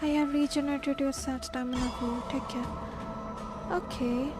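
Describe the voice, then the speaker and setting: A woman with an Indian accent chatting casually as a live game streamer, with loud game music over her voice. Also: high-pitched, level tone, normal speed. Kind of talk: casual live gameplay chat.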